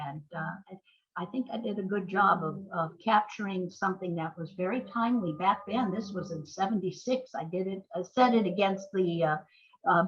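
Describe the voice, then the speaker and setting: An older American woman speaking as an interview guest in a thoughtful, measured, articulate way. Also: on the low side, slightly rough. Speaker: guest, playwright. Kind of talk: reflective interview conversation. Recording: dull, lo-fi sound.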